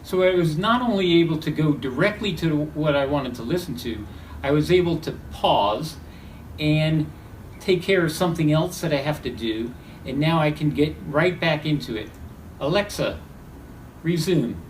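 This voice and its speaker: A man demonstrates a product in a somewhat high voice. He sounds a little way from the microphone in an echoey room, with slight noise behind him. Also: lively intonation, normal speed. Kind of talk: spoken product demo. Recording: roomy, distant mic, faint noise.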